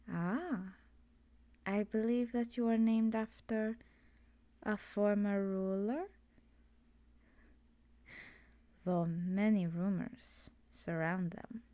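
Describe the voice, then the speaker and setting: A woman voicing an ancient, immortal swamp witch in an ASMR roleplay, soft-spoken and often whispery, gently knowing and wistful. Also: medium pitch, dry recording, lightly acted. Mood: wry, cautioning.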